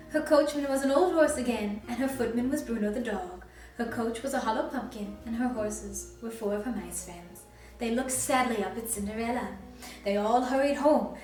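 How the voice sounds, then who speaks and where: Sweet high-voiced lady doing princess storytime for little kids, super echoey, kinda far from the mic. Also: a little mellow. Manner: sweet, encouraging, asks listeners to join in. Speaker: children's storytime performer reading in character as a princess. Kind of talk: expressive storybook read-aloud for young children. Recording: very echoey, distant mic, faint music.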